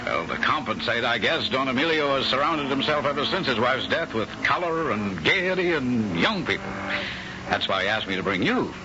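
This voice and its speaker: An actor as an evasive man, lively pitch, noisy radio. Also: moderate pace, natural voice. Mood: wistful. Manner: hesitant, trailing off. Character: evasive American man confiding a family's dark history. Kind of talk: 1970s radio drama acting. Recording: noisy, dull 1970s radio recording, car rumble.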